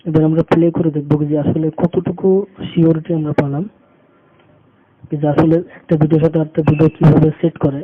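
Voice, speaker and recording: Male tutorial instructor, higher-pitched voice, halting unscripted delivery, repeated phrases, tinny phone-quality audio. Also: level tone, breathy edge. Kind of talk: unscripted step-by-step screen tutorial narration. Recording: muffled phone-like audio with background noise.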